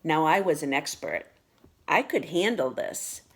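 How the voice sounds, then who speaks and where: Unhurried woman reading a children's storybook. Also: low voice. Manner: expressive, deliberate storytelling delivery. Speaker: storybook reader. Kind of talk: read-aloud children's picture book narration.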